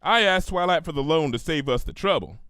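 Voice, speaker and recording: A man's voice: fairly high pitch, expressive, brisk, a bit bright, clear, resonant tone, dry recording, clean studio sound, pitched above the performer's own voice. A man voicing a blunt, hard-working female cartoon farm pony with a folksy, drawling way of talking, in a story reading. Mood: defensive, firm. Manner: folksy, plain-spoken, drawling dialect.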